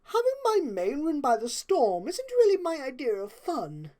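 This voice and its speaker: A woman's voice: high voice, wildly swooping pitch, normal speed, dark tone, pitched above the performer's own voice. A woman voicing a refined, fussy female unicorn in a storybook, vain but caring, with prim and chiding phrasing. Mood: haughty, amused.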